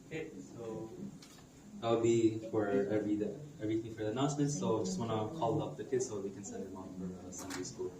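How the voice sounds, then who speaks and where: A man leading a church service, making announcements and praying in a soft, measured cadence. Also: on the low side, fairly even intonation, over a noisy crowd. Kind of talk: announcements and spoken prayer. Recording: distant mic, echoey hall, background noise.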